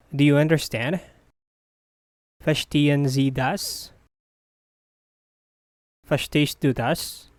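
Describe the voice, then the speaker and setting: A teacher's voice slowly repeating short phrases in a language drill, each one said clearly and evenly. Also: mid-range voice, no echo, clean studio sound. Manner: deliberate, evenly paced repetition of short phrases.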